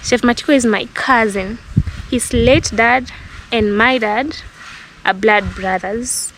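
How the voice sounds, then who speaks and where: A young woman with a Kenyan accent tells stories to her viewers in a relaxed, reflective vlog. Her voice sits a little high, and there is a faint hiss under the recording. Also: slow pace, rough recording. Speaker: vlogger. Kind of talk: casual storytelling to camera.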